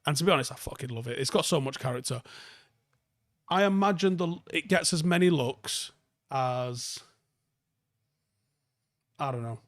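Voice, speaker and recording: A man with a softened Manchester, northern English accent, chatting casually and bluntly as a livestream host answering viewer comments, with plenty of swearing. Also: a little high, normal speed, pauses often, no echo, studio quality.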